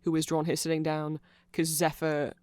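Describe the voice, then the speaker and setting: An androgynous, medium-pitched voice recorded dry and close, explaining fan lore in a rambling ASMR style. The speaker is amused and enthusiastic, easily flustered, and often doubles back to correct a point or lets a thought trail off. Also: completely flat delivery, normal speed, darkish tone, clear, resonant tone, no echo.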